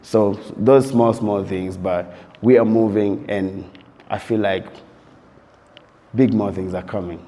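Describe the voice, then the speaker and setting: A man's voice: on the low side, fairly even intonation, slow, pauses often, mellow, slight background noise. A man from Uganda, a DJ and producer reflecting in an interview, telling his story loosely and leaning on "you know" throughout. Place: interview set while on tour abroad. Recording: long reverb.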